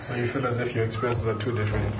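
Casting note: man, radio host, brisk scripted delivery, fast pace. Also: mid-range voice, level tone, noisy background. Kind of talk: radio presenting and interviewing.

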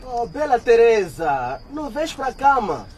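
A young actor playing a possessive, controlling boyfriend in a radio drama, wheedling and bossy. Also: male voice, very high-pitched, big pitch swings, moderate pace, over loud music. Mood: coaxing. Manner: coaxing then domineering.

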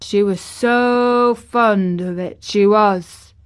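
A woman's voice: medium pitch, slow pace, close to an everyday voice. A woman voicing a crabbed but tender old gardener with a Yorkshire accent, dry and grumpy, in a storybook reading. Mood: tender, slow. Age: older.

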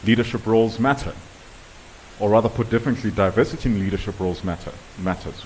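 A man presents at a conference, speaking in a lowish voice. He lands his points firmly and with emphasis. A faint hiss sits under the recording. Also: fast talker, poor audio. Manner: emphatic, assertive statements. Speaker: diversity workshop presenter. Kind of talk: conference talk.

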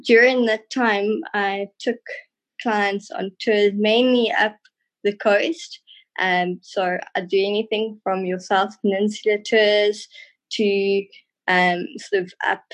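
Woman of thirty, South African accent, unhurried pace, medium pitch, casual, hesitant phrasing. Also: poor audio. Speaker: podcast guest, trained tour guide. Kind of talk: casual interview answers.

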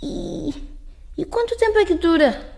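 A woman's voice: very high-pitched, lively intonation, unhurried, gravelly, darkish tone, exaggerated voice. A woman acting in a radio drama as a shy, unsure adolescent schoolgirl, hesitant and polite. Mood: unsure, curious.

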